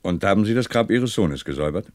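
An actor playing a composed, wry middle-aged police commissioner in a 1960s German radio crime play, polite and probing. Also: male voice, fairly low pitch, lively intonation, fast, darkish tone, no echo, studio quality, lightly acted. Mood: courteous, probing.

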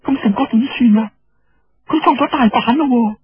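Man acting distraught young woman, Cantonese accent, tearful, plaintive, pitched high, audiobook story, muffled echoey recording. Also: fairly quick, breathy edge, exaggerated voice. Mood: anxious, alarmed. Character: distraught, lovelorn young woman, naive and despairing. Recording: dull lo-fi radio sound with room echo.

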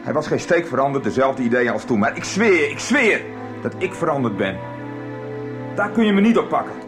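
An actor as a cornered man in a radio soap, his pitch swinging widely. He is agitated and defensive, stressing his words. Music is clearly present behind him. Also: big pitch swings, normal speed, lightly acted. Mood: cornered, pleading. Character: cornered, defensive man with a shameful political past. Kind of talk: 1990s radio soap acting.

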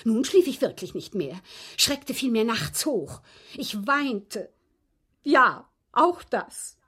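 Husky-voiced genteel widow, aggrieved. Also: female voice, on the high side, sing-song, takes it slowly, a bit bright, full character voice. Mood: indignant, distressed. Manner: precise, formal, dramatic phrasing. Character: genteel, aggrieved widow recounting her captivity. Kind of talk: German radio drama acting.

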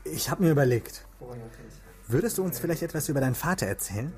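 An actor playing a polite, cautious police investigator in a radio drama, courteous and reserved. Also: male voice, fairly high pitch, animated, fast, a little mellow, faint music behind, lightly acted. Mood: polite, guarded. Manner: courteous, reserved, brief replies.

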